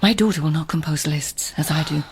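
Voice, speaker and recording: Radio drama, proud imperious noblewoman: lowish, breathy, husky voice, formal declamatory delivery. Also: fairly quick. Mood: rapt, resolute. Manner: poised, formal, precise, declamatory. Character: proud, imperious palace lady of ancient Japan.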